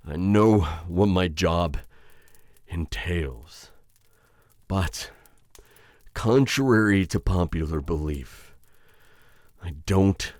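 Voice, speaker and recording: Man playing a deep-voiced, menacing witch hunter. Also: darkish tone, no room sound, clean studio sound. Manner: slow, halting, intimate taunting address to listener. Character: menacing male witch hunter, taunting his chained captive, conflicted. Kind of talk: ASMR roleplay acting.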